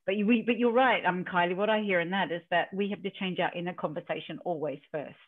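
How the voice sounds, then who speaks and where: A woman's voice: lowish voice, fairly even intonation, fast talker. Chatty woman on a tinny video call. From New Zealand. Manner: storytelling, chatty, quotes herself. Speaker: leadership coach. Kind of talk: casual interview over video call. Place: home office in a country house.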